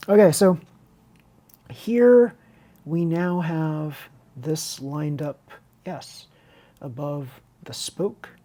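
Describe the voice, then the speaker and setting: Husky woman chatting through a hobby build. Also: sits low, extremely slow, long pauses, mellow. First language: Chinese. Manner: rambling, candid, self-interrupting. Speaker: hobby video host and teacher. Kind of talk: unscripted casual chat over a model car build tutorial.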